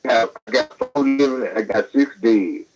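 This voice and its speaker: A man's voice: mid-range voice, moderate pace, a crowd in the background. A man with a Southern accent talking over a bad phone line, rambling and defensive in slangy speech. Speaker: caller. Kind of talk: phone call on air.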